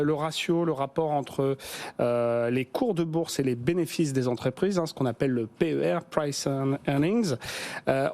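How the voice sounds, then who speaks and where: A French business journalist explaining stock valuations in a TV studio, pausing often with fillers and restarts. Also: male voice, a little high, a little mellow. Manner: hesitant, many fillers and restarts. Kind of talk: studio business news discussion.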